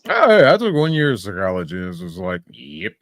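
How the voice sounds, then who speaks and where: A man's voice: mid-range voice, wildly swooping pitch, fast, fairly bright tone, no echo, studio quality. Guy streaming reactions, swears a lot, mocking and baffled, voice jumps all over the place. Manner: mocking, incredulous, profane. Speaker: livestream host. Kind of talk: casual reaction commentary.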